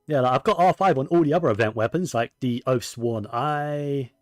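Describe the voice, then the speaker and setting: A man's voice: medium pitch, moderate pace, no echo, clean studio sound, faint music behind. A man giving casual, rambling gaming commentary, often correcting himself as he goes. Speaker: game streamer.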